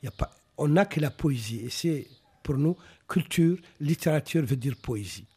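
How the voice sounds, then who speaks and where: A Mauritanian novelist, whose first language is Arabic, answers interview questions in a thoughtful way, often pausing and restarting his sentences. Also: fairly high pitch, animated, darkish tone, slightly rough. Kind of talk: reflective radio interview answers.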